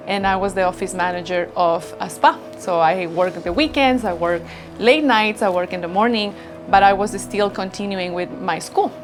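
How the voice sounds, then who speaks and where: Woman founder, 30s, from Ecuador, warm grateful interview talk, music under her. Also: mid-range voice. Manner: earnest, grateful storytelling. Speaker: business founder. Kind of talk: interview answers. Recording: background music bed.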